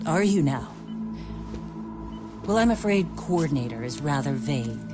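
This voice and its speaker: A woman's voice: low voice, animated, moderate pace. An actress playing a guarded, stern woman in a game cutscene, formal and cool. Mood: cold suspicion. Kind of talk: video game cutscene acting. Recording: dramatic music behind the voice.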